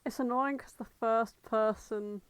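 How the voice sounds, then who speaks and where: A woman's voice: fairly high pitch, fairly even intonation, a little slow, clear, resonant tone, no echo. A woman co-hosting a podcast in casual, unscripted chat, informal and rambling, with some swearing. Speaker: podcast co-host.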